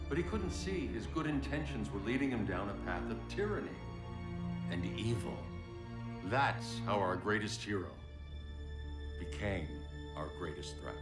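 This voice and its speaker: Deep, grim vigilante, echoing. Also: male voice. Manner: measured, solemn, terse. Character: grim, stern middle-aged male vigilante crimefighter and father. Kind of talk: video game cinematic acting and narration. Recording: echoing voice under loud music.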